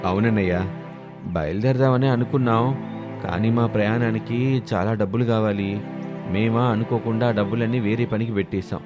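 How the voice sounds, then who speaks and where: Low-pitched scheming, polite male guest played by a man. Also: steady tone, moderate pace, over music, close to an everyday voice. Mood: ingratiating. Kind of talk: cartoon moral story.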